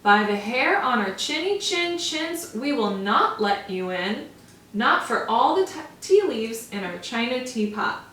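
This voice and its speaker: A woman voicing three small cuddly wolf cubs, giving high chanting refusals as she reads a storybook aloud. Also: very animated, a little slow, fairly bright tone. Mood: defiant. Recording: echoey room, mic far off.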